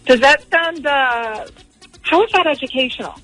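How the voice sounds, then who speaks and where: A woman's voice: high voice, lively intonation, moderate pace, a bit bright, soft background music. A woman in her thirties chatting casually as a parent on a phone call, with a questioning manner. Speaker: parent receiving a prank call.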